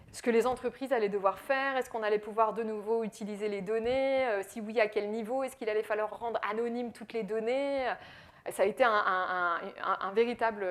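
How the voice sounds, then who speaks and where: A woman from France with a French accent giving a business presentation, explaining with frequent fillers and repeated words. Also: fairly high pitch. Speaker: AI consultant speaker. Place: presentation in Quebec.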